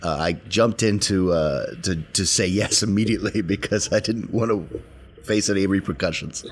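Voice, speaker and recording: A man playing a tabletop game, talking casually and haltingly as he thinks aloud. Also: sits low. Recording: faint background noise.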